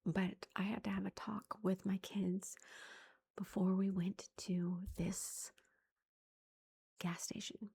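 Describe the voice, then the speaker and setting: Slow whispering woman, dark and breathy. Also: medium pitch, little pitch movement. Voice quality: soft whisper. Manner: chatty, amused, confiding. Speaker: mother telling a story. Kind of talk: whispered storytime chat.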